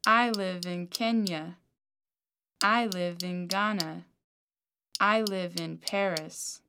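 Slow woman reading course drills. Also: fairly low pitch, fairly even intonation, pushed and bright, slight background noise. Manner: slow, carefully articulated model speech. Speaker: language course speaker. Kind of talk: scripted model dialogues and drills.

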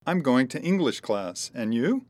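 A woman reading model dialogues and word drills for a language course, with careful, clear articulation. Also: very deep voice, big pitch swings, unhurried, a little mellow.

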